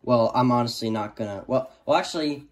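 A man's voice: mid-range voice, a little fast. A young male student giving a casual, rambling hobbyist review that often trails off, recorded indoors on a handheld phone. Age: teenager or young adult student. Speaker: weather radio hobbyist reviewer. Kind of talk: casual off-the-cuff product review. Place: small room indoors. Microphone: handheld phone camera.

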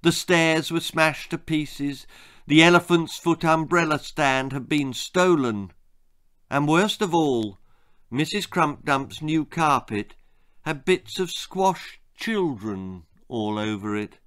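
Male storyteller, sixties, slow and theatrical, wide swings in pitch, for grisly children's fiction. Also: clear, resonant tone. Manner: expressive, deliberate, theatrical. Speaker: author reading his own stories. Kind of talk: dramatic children's storytelling, scripted narration. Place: small book-lined room indoors.

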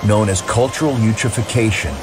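A man's voice: on the low side, a little fast, noisy background. A man narrating a scripted history documentary.

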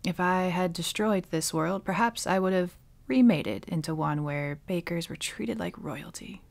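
A woman playing a centuries-old villainess witch in an ASMR audio roleplay, wry and bitter with a reluctant tenderness, often slipping toward a breathy whisper. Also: fairly low pitch. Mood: dry, sardonic. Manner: slow, sardonic, dropping often into breathy whispers. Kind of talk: ASMR dramatic roleplay monologue.

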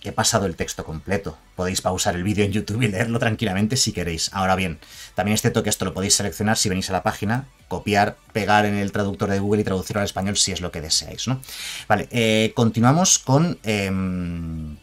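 Chatty Spanish-speaking man on a headset. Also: on the low side. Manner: chatty, reads card names slowly, asks for agreement with ¿vale?. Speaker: gaming channel host. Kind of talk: casual news commentary to camera. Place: small room at home.

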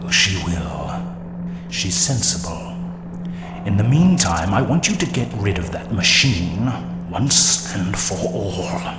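An actor playing a menacing video game villain warlord, silky, deliberate and condescending. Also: male voice, low voice, sing-song, heavy background noise, full character voice. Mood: cold confidence. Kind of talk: video game cutscene acting. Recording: echoing hall under loud music.

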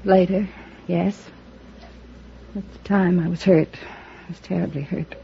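A heartbroken American woman who drinks, bitter and worn by old hurt. Her voice has a gravelly fry, in the vintage detective-drama manner. The old recording is muffled, with some background noise. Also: lowish voice, steady tone, unhurried. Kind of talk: old-time American radio drama acting. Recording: dull, noisy old radio transcription.

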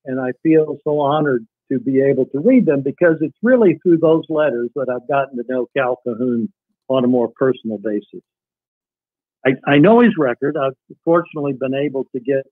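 A man's voice: mid-range voice, dry recording. An older man being interviewed, talking and reading old letters aloud. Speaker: podcast guest reading a relative's wartime letters. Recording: tinny, phone-like call audio.

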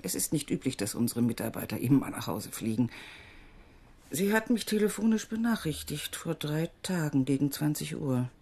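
An actress playing a weary, evasive middle-aged aid organisation manager in a German radio crime drama, husky and world-weary. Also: female voice, sits low, big pitch swings, moderate pace, warm tone, full character voice. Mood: regretful.

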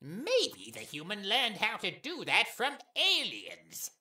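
A woman's voice: medium pitch, huge pitch swings, takes it slowly, pushed and bright, echoey room, full character voice. A woman voicing a naive, earnest male skeleton in an audio drama, speaking emphatically. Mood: earnest, goofy.

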